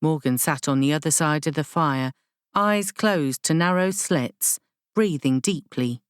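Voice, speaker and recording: A woman narrating literary fiction from a script as an audiobook reader, in a measured, deliberate storytelling manner. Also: low-pitched, smooth, no room sound, studio quality.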